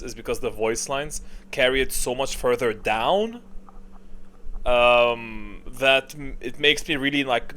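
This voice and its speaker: Male gaming creator, medium pitch, casual commentary style, rambling and opinionated with emphatic slang. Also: a bit bright.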